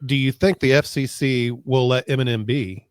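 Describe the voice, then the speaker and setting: A man with an average-pitched voice chats informally on a tech panel show he co-hosts. His sound is dry and free of room echo. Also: fairly even intonation, normal speed, clean tone. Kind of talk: casual chat.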